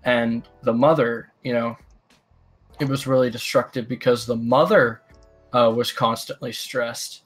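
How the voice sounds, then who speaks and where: Male discussion participant, medium pitch, halting explanatory delivery, casual and opinionated, soft music behind. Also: steady tone, slightly rough. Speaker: livestream talk participant.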